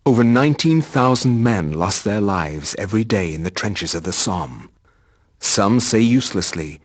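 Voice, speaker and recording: A deep, husky storyteller narrating a horror game with solemn, scholarly gravity. He is measured and sounds close and dry. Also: no room sound. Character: grave, learned male narrator recounting horrors.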